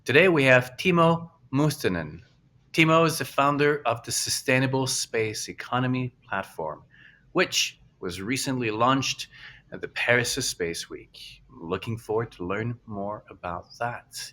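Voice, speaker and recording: A man's voice: mid-range voice, lively intonation, unhurried, sharp tone. A man hosting a casual podcast interview, asking long, rambling questions with restarts. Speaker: podcast host.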